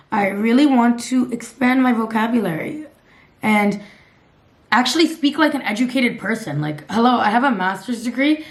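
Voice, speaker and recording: Raspy woman, twenties, blunt casual vlog chat, swears. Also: mid-range voice. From Canada. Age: 20s. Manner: blunt, chatty, swears often, laughs at herself. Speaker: lifestyle vlogger.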